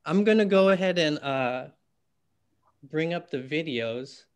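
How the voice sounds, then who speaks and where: A man's voice: a little high, expressive, measured pace, smooth. Young man, event host, chatty, video call audio. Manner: friendly, chatty, with fillers and laughs. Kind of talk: casual video call presentation.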